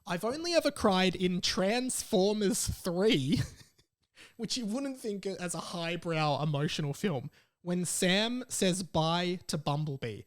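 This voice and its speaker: Young aussie guy doing a solo podcast, voice a bit on the high side, chatty and informal, reading out listener messages. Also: expressive, slow. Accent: Australian. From Australia. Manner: chatty, informal, commenting as he reads. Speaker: podcast host.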